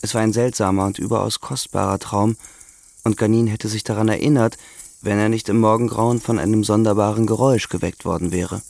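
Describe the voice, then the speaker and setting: A man's voice: on the low side, fairly even intonation, brisk, vocal fry, darkish tone, dry recording. A man reading scripted literary narration as the narrator of a novel.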